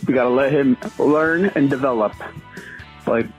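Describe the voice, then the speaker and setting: A man's voice: mid-range voice, normal speed, rough recording. A man leaving casual sports talk on a fan voicemail line. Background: music.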